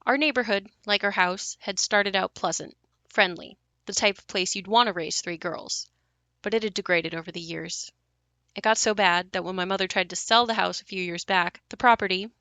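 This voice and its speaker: A woman's voice: mid-range voice, pushed and bright, no room sound. An actress playing a young woman who recalls her haunted family home in a confiding, wry, matter-of-fact monologue for an audio play. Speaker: narrator and lead of an audio play. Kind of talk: first-person dramatic monologue.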